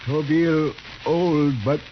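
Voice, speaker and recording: A man's voice: on the high side, fairly even intonation, slow. An actor playing a proud, stoic old Native man in a radio western, speaking solemnly in terse broken English. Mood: solemn pride. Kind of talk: 1950s radio western acting. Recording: hissy, muffled old radio recording.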